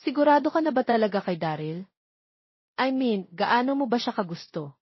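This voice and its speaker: A woman's voice: fairly high pitch, expressive, normal speed, breathy edge, dry recording, highs cut off. A woman with a Filipino accent voicing a caring, protective middle-aged mother in an audio drama. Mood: concerned.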